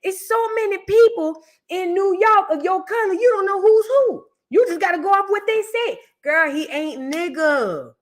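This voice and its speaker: A high-voiced woman, chatting casually with her stream audience. Her delivery is loud and emphatic, and she keeps repeating her punchlines. Her pitch swings widely as she talks to viewers by name. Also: animated, moderate pace, hardly any pauses. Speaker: livestream host reacting to history content. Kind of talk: casual reaction chat with viewers.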